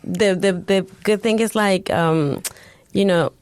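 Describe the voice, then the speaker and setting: A woman's voice: medium pitch, takes it slowly, a little mellow, clean tone, dry recording. A woman from Mexico with a Mexican accent, a native Spanish speaker chatting casually as a podcast co-host. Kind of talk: casual chat.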